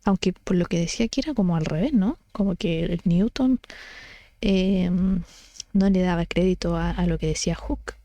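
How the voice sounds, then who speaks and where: Mellow-voiced woman thinking aloud casually. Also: lowish voice, moderate pace, dry recording. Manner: informal, thinking aloud, self-correcting. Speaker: book study vlogger. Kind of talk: casual explanatory chat.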